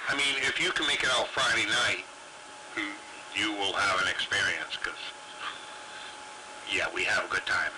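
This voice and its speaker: A man's voice: lowish voice, steady tone, normal speed, pauses often. Man chatting over noisy CB radio. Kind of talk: casual chat over CB radio.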